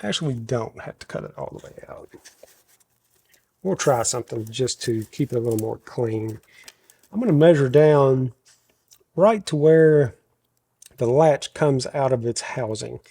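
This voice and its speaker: A man with a country American accent and a slight head cold, talking himself through a workshop project in a loose, thinking-aloud way. His natural voice is very deep, and he often corrects himself mid-sentence. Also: a little slow, vocal fry, long pauses, mellow, husky. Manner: talks to himself while working, self-correcting. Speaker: host showing a woodworking project.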